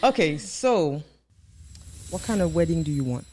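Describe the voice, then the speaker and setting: A woman co-hosting a podcast in a relaxed, playful chat, asking questions. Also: low voice, unhurried. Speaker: podcast co-host. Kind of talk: casual chat.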